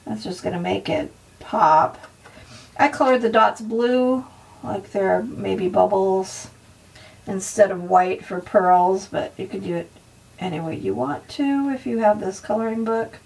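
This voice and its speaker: Slow-talking, slightly hoarse woman. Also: mid-range voice, far from the mic, low-quality recording. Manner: soft, unhurried, with frequent ums and pauses. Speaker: art tutorial host. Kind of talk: casual coloring tutorial narration.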